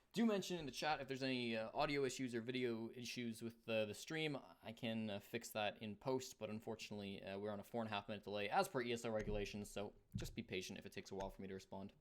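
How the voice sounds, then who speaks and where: Man, Canadian accent, esports caster, live commentary, rapid pace, average pitch.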